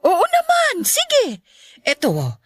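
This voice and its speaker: A woman's voice: very high voice, huge pitch swings, slow, no echo, clean studio sound, heavily acted. A woman playing a kind but firm middle-aged mother in a radio drama. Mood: gentle, warm. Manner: gentle, motherly.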